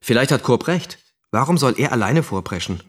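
An actor playing an earnest, critical radio trainee, reflective and sardonic in an audio drama monologue. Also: male voice, medium pitch, expressive, a little fast, close to an everyday voice. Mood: bitter, reflective. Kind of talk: narrated monologue in a German audio play.

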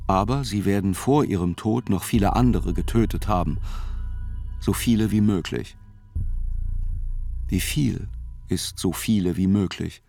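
Male narrator, lowish pitch, scripted literary reading, measured, deliberate, articulate. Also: a little mellow.

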